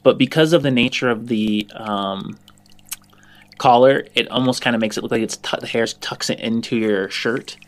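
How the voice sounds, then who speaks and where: A man narrating a sci-fi tabletop game as game master, informal and descriptive, halting as he improvises. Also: mid-range voice. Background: music bed.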